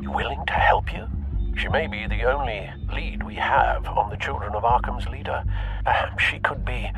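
An actor playing a discreet, courteous elderly butler in a video game, with a breathy voice. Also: male voice, medium pitch, normal speed, over music, natural voice, low-quality recording. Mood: measured concern.

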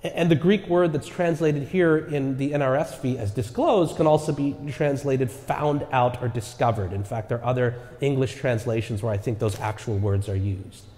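A man's voice: medium pitch, normal speed, hardly any pauses, dark tone. A male pastor giving a sermon earnestly, often checking in with the congregation through rhetorical asides. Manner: earnest preaching with repeated rhetorical "right?" asides. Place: church sanctuary. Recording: echoey church with long reverb.

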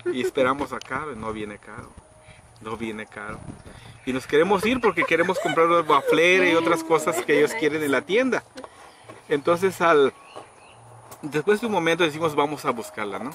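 A middle-aged man casually telling a story outdoors, acting out quoted people with sudden high pitched voices. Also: animated, moderate pace. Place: outdoors under trees in a rural yard. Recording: outdoor noise in background.

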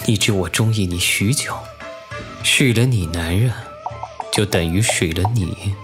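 An actor playing a scheming, lecherous young official in a Chinese costume audio drama, smug and deliberate in manner. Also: male voice, deep voice. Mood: taunting, lecherous. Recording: music under the voice.

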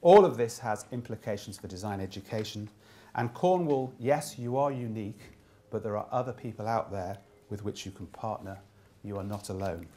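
A man in his fifties delivering a keynote speech, summing up from notes and often halting to repeat words. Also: lowish voice, mellow. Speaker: keynote speaker summing up a design conference. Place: indoor room with plain wall.

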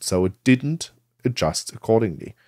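Low-voiced man explaining software, dry sound. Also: expressive, normal speed, no echo. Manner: conversational, explanatory, asks and answers own questions. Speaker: tutorial presenter. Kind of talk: software tutorial narration.

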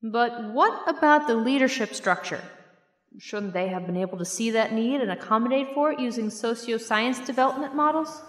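Actress as inquisitive female professor, measured and academic, fairly high voice, audio drama with hall reverb. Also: a bit bright, close to an everyday voice. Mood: probing. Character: probing, composed female university professor leading class debate. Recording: echoey hall reverb with music.

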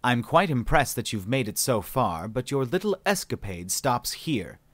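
A man reading an audiobook, voicing a calm, condescending male alien scientist with polite, mocking, unhurried speech. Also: medium pitch, dry recording. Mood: smug, condescending.

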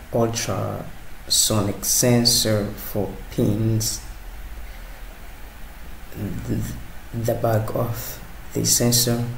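A man narrating a hands-on electronics tutorial, halting and repeating words as he works. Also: fairly low pitch, vocal fry, darkish tone. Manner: halting, hesitant, repeats words while working. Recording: roomy sound with faint background noise.

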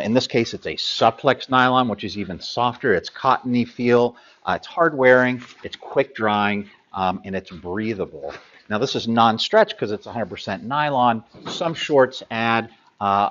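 A man's voice: on the low side, highs cut off. Middle-aged man explaining gear with ums. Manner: practical, hands-on, many ums and uhs while handling gear. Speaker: sailing gear expert. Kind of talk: product explainer.

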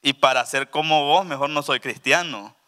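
A middle-aged man gives a testimony from a church stage, speaking into a handheld microphone. His voice is bright and forceful. He swings from soft, low asides to loud, emphatic declarations. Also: moderate pace. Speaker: pastor. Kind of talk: testimony sermon. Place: church stage with purple lighting.

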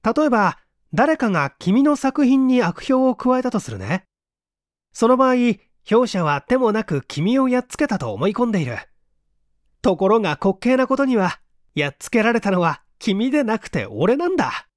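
A man voicing an eager, scheming mystery writer with an animated, persuasive manner in a storybook reading. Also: high voice, moderate pace, no room sound, clean studio sound, pitched above the performer's own voice. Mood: gleeful, persuasive. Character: scheming male mystery writer, eager, pleased with his mischief.

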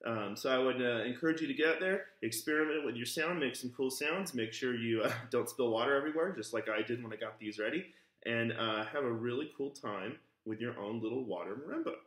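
A man's voice: medium pitch, fairly quick. Chatty man in an echoey kitchen. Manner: relaxed, chatty, with frequent ums and uhs. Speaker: music teacher. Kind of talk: casual home music lesson. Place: home kitchen, indoors. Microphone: camera on the table in front of him. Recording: echoey kitchen with faint noise. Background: glasses clinking.

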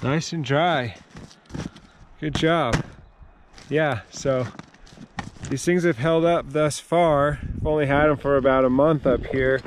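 A man's voice: on the high side, slow, pauses often, noisy background. Easygoing man reviewing gear outdoors. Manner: relaxed, filler-heavy, easygoing. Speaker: vlogger. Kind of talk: casual product review. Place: outdoors by a van at a campsite.

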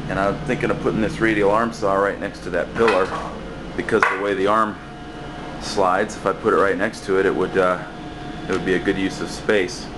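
A man with a very low voice talks loosely as he walks a handheld camera around a cluttered basement workshop. He backtracks and fills pauses with "uh" and "um", easygoing and unscripted. A steady hum of air conditioning sits clearly behind him in the noisy basement. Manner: rambling, relaxed, many fillers and restarts. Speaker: building maintenance worker giving a shop tour. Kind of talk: casual walkaround tour. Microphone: handheld camera mic, speaker off screen.

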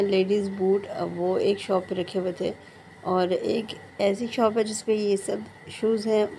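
A woman shopping vlogger narrates market finds and prices. Her voice sits at a middling pitch, with some ambient noise behind it. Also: mellow. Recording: clearly noisy background.

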